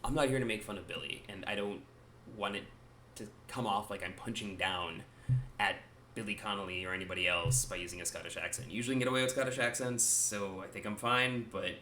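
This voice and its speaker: A man in his thirties talks straight to his viewers in a loose, rambling monologue, wry and a bit self-deprecating. His voice sits a little low, with a faint hiss of noise under it. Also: pushed and bright. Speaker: gaming YouTuber.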